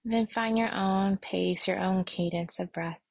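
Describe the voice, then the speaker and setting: Slow, husky woman guiding meditation. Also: medium pitch, fairly even intonation, darkish tone, no room sound. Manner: soothing, unhurried, with long pauses. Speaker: meditation instructor. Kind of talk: guided meditation with prayer.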